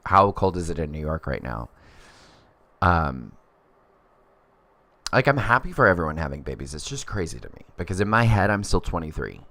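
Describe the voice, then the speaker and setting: Guy in his 30s, low voice, chatty and rambly, reads chat comments, pitch jumps all over. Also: big pitch swings, moderate pace, pauses often, pushed and bright, no echo. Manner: chatty and rambling, many fillers. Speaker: livestreamer reading viewer comments. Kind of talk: casual livestream chat.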